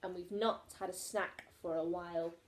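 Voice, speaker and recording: A woman's voice: lowish voice, steady tone, normal speed, pitched below the performer's own voice, close to an everyday voice, poor audio. A woman voicing a bold, hungry alien yodeller leader in a children's storybook, declaring and exclaiming.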